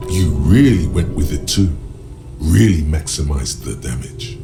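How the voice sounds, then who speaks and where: An actor voicing the slow, solemn inner voice that narrates a troubled detective's thoughts in a game, with music behind. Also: male voice, extremely low pitch, animated, heavily acted. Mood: scornful.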